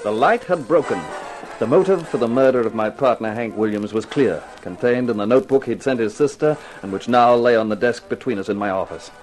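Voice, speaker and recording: An actor playing a tough, cool-headed private detective in a 1950s radio drama, terse and wry. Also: male voice, mid-range voice, brisk, music in the background, natural voice, rough recording. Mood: grim, brooding.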